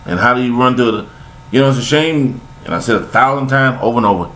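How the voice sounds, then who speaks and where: A man's voice: medium pitch, quick pace, fairly bright tone. An older man, talking in a rambling, preachy monologue, repeating himself with folksy sayings. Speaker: vlogger giving life commentary.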